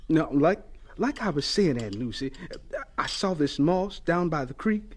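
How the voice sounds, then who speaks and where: Earnest, high-voiced Southern teenage boy. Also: expressive, slow pace. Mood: cheerful. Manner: polite, earnest. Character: earnest, gentle, eager sixteen-year-old Southern boy. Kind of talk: radio drama acting.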